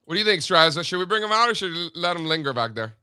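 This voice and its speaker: A man's voice: high-pitched, big pitch swings, very fast, sharp tone, clear, resonant tone, dry recording, clean studio sound. A man co-hosting a morning show, telling stories in casual, joking, mock-serious banter.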